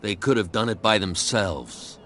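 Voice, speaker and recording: An actor playing a surly male bootlegger thug in a video game, mocking and often hushed. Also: lowish voice, lively intonation, normal speed, slight background noise. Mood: sneering.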